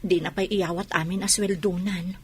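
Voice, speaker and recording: A woman's voice: fairly low pitch, fairly even intonation, moderate pace, a little mellow, a little hiss or hum, lightly acted. A woman playing a hurt, suspicious wife and patient mother in an Ilocano audio drama. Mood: troubled.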